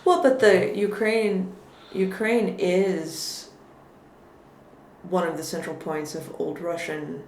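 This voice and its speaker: A woman's voice: on the low side, steady tone, slow pace, darkish tone. A woman guest in a casual political conversation, thoughtful and careful with her words, often restarting her sentences. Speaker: podcast guest. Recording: echoey room with faint noise.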